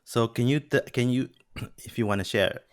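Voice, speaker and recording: A man's voice: medium pitch, moderate pace, dry recording, studio quality. A man from Peru hosting a podcast, chatting casually with many fillers and restarts. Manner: rambling, many fillers and restarts, laughs often. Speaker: podcast host. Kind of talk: casual interview chat.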